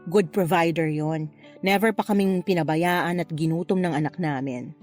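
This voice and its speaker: A woman playing a flirtatious, unapologetic married mother in a Tagalog radio love drama, with a playful, expressive delivery. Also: fairly low pitch, moderate pace, faint music behind, close to an everyday voice. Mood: candid, defensive. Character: flirtatious married mother having a secret affair, breezily unapologetic.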